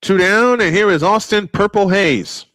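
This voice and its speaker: A man's voice: high voice, measured pace, a bit bright, no room sound. A man calling live baseball play-by-play in an old-school broadcast manner, with some wordplay. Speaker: play-by-play announcer.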